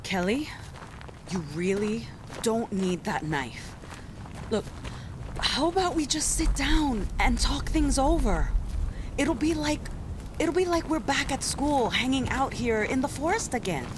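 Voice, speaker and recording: A frightened female captive in an audio drama, trying to placate. Her voice is high and lively in pitch, hesitant and pleading, with noisy ambience behind it. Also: measured pace. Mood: placating. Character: anxious, placating young woman held captive.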